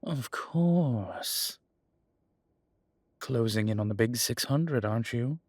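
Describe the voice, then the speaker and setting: A man playing a centuries-old vampire, a genial but weary former soldier who speaks haltingly and deliberately in an audio roleplay. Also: mid-range voice, animated, darkish tone, dry recording, clean studio sound. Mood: amused. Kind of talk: dramatic audio roleplay acting.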